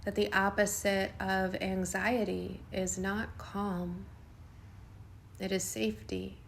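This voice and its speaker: A woman guiding a yoga nidra meditation in a soothing, unhurried way, speaking in short phrases with long pauses. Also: medium pitch, little pitch movement, creaky, a little hiss or hum. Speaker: meditation guide.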